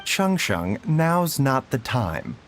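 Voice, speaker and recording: A man voicing a composed male doctor who speaks softly, in a measured, courteous way. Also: mid-range voice, big pitch swings, slight background noise. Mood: calm.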